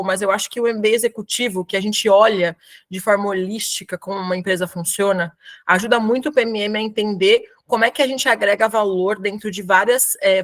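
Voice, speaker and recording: A woman's voice: medium pitch, fairly bright tone. A woman in her thirties with a São Paulo accent, giving a casual, slangy talk with lots of fillers as a guest at an online community session. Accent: São Paulo Portuguese. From São Paulo, Brazil. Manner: chatty, informal slang, frequent fillers. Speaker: guest speaker presenting to a professional community. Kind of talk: casual live webinar talk.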